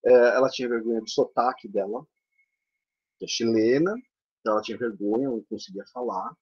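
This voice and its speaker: A Brazilian-accented man talks through his slides as a theatre teacher for older adults, chatty and full of tag questions. His voice sits at an ordinary middle pitch for a man. The sound comes through a dull, lo-fi video call. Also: fairly even intonation, brisk, a little mellow, slightly rough. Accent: Brazilian Portuguese. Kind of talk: conference presentation over slides. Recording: dull lo-fi online call audio.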